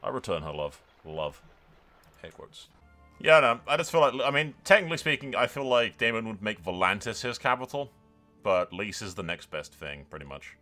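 Guy doing sweary gaming commentary, bright forceful voice, music behind him, kinda mock-epic. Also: lowish voice, big pitch swings, normal speed. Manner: mock-grand announcer phrasing, emphatic repetition. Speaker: gaming video creator. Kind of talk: casual, sweary let's play commentary with storytelling.

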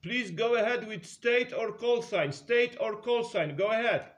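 A man's voice: sits high, a little slow, fairly bright tone. A man from Poland with a Polish accent making CB radio contact calls in repetitive, clipped callouts as a radio operator. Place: indoors at a radio set. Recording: background hiss and clicks.

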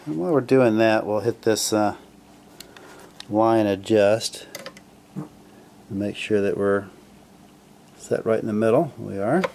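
A man casually narrating his radio restoration at a home workbench, relaxed and full of fillers as he thinks aloud. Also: lowish voice, slow pace, vocal fry, long pauses, a little hiss or hum. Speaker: hobbyist restoring a vintage radio.

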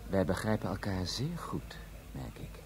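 An actor playing a formal, guarded drug supplier who speaks with measured politeness in a Dutch radio play. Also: male voice, low voice, huge pitch swings, gravelly, darkish tone, exaggerated voice. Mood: businesslike. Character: courteous, guarded foreign drug supplier, a man. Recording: noisy radio drama recording.

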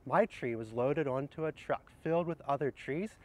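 A middle-aged man speaking outdoors, sharing picture books in a gentle, explanatory way and addressing viewers directly. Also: medium pitch, normal speed. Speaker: horticulturist presenting children's books. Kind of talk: picture-book read-aloud and casual book recommendations. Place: outdoors under trees in an arboretum garden.

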